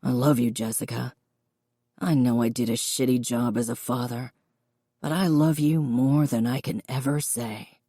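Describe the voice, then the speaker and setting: Woman voicing a quiet, very low, remorseful father. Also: fairly even intonation, moderate pace, breathy edge, no room sound, studio quality. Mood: earnest, loving. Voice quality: reedy, quiet. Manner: earnest, gentle. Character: remorseful, loving father of grown children, older man. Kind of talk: audiobook character dialogue.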